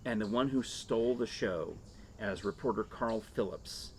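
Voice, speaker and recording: A man's voice: on the low side, a little slow. A middle-aged American man telling anecdotes casually as a talk show co-host, sharing a table mic on a porch. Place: screened porch outdoors. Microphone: shared desktop condenser mic on table. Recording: noisy open-air ambience.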